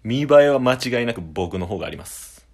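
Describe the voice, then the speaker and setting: A man's voice: medium pitch, animated, fairly quick, vocal fry, a little mellow. A man acting a cheeky, boastful junior contestant in an amateur radio drama, with casually deferential speech. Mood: cocky, defiant. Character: cheeky junior male rival on a dating show, eager and boastful.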